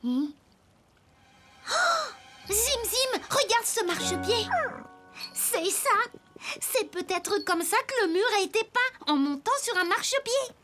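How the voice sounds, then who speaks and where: Tiny puppet boy detective, super high voice, kinda slow and earnest, eager cartoon kid w music behind. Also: very animated, fairly bright tone, full character voice. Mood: inquisitive, bright. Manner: earnest, inquisitive, enthusiastic. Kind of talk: French children's cartoon dub acting. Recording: children's cartoon music underneath.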